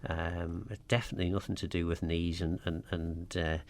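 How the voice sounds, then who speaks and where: A man's voice: deep voice, little pitch movement, moderate pace. A man presenting a radio show in casual chat, hesitant and full of fillers and restarts. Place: radio studio.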